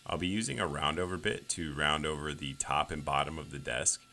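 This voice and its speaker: A man's voice: very deep voice, steady tone, a little fast, pushed and bright. A man in his thirties narrating his woodworking build in a plain, step-by-step voice-over. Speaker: woodworking channel host.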